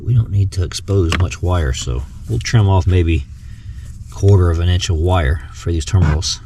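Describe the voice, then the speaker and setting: A man with a low voice talks casually through a do-it-yourself job, thinking aloud as he goes. Clear background noise from a vehicle runs behind him. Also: moderate pace. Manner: thinks aloud while working. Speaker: host of a DIY vehicle radio install video. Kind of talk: casual hands-on tutorial narration.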